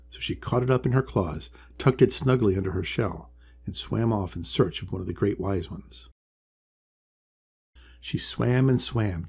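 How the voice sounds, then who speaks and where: Low, gravelly male storyteller. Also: mellow. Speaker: author reading aloud. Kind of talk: scripted children's storybook narration.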